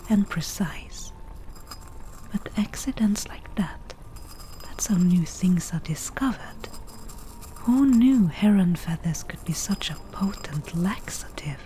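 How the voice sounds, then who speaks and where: A forest witch from an ASMR roleplay, acted by a woman, whispers in a soft, mellow, slightly low voice. She is chatty and a little wicked, musing at a very unhurried pace in a conversational way. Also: rough recording. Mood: wry reminiscing. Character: eclectic forest witch, chatty, unruly, mildly wicked potion brewer.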